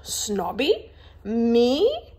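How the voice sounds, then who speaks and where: A woman's voice: on the high side, wildly swooping pitch, extremely slow, warm tone, pitched above the performer's own voice, full character voice. A woman voicing a proud, stiff young lady in a storybook, with a prim, formal, scolding manner. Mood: indignant.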